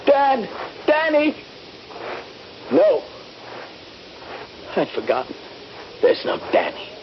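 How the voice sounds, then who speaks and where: An actor playing a desperate, haunted, wounded young soldier in a 1970s radio drama, moving between hushed whispers and cries. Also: male voice, extremely high pitch, huge pitch swings, slow, a little hiss or hum, heavily acted. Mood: pleading longing. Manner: anguished, theatrical, swinging from hushed whispers to cries. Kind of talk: 1970s radio drama acting with first-person narration. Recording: dull 1970s radio recording, music behind.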